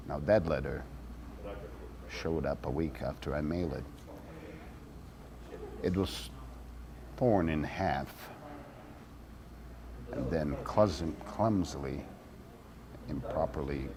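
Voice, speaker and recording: Deep, slow-talking man acting a wry ex-activist monologue. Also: heavily acted. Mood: quiet, knowing. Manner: slow, deliberate delivery with dramatic pauses. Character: wry former activist man recounting a strange feud. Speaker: actor reading a monologue. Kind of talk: theatrical dramatic monologue.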